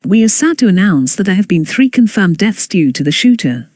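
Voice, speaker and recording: An announcer reading scripted emergency news bulletins in a formal, measured way. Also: medium pitch, sing-song, a little mellow, dry recording.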